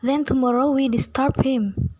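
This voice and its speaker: Young woman as plotting schoolgirl bully, Indonesian accent, husky higher voice, student radio play. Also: fairly even intonation, moderate pace, a little mellow. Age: young adult. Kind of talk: amateur student radio drama.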